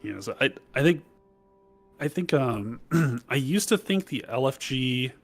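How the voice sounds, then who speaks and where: A man's voice: medium pitch, expressive, measured pace, faint music behind. A man chatting casually about games with others, emphatic and prone to repeating his phrases. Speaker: voice chat participant. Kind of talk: casual gaming chat.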